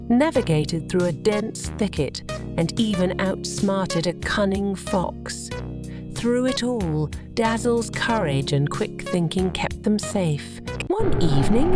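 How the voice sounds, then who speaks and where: A woman tells children's bedtime tales in a scripted storytelling style. Her pitch moves in a lively way, with faint music playing behind her.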